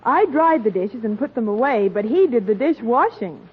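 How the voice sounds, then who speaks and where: A perky young wife, high and lively, 1940s radio ad, tinny sound. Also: female voice, normal speed, slightly rough. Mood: chirpy. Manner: chirpy, enthusiastic ad reading. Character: cheerful young bride in a soap ad. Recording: tinny old radio broadcast recording.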